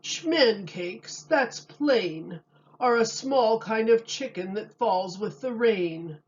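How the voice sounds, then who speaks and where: A woman voicing a pompous storybook wise man who explains nonsense in drawn-out, knowing rhyme. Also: a little high, expressive, muffled recording, pitched above the performer's own voice.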